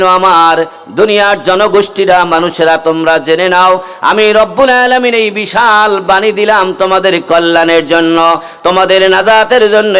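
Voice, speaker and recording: Man, Bangladeshi accent, Islamic preacher, exhortative sermon with melodic chanting, high ringing smooth voice, slow delivery. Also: fairly bright tone. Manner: exhortative, calls on listeners to respond. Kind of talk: waz sermon to a gathering, with melodic chanting of verses and rhymes.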